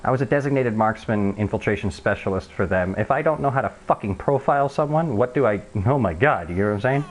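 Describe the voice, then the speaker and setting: A man with a high-pitched voice, talking very fast and swearing freely as he chats during a live game stream. He stumbles and restarts his sentences, pressing his points hard. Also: animated, poor audio. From Canada. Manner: profane, emphatic, often restarting sentences. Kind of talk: rambling voice chat.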